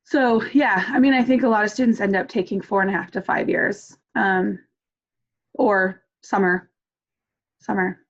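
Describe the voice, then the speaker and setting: A woman advisor chats through students' questions over a video call, filling pauses with ums and uhs. Her pitch sits in a middle range. The call audio is muffled and lo-fi. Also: fairly even intonation. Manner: chatty, many fillers. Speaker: academic advisor.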